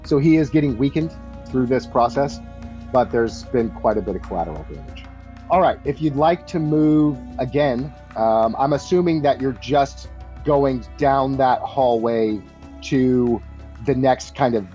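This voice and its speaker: A man running a tabletop roleplaying game as game master, casual and conversational, with some halting phrasing. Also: mid-range voice, expressive, dark tone. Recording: dull, muffled audio with music behind. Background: background music.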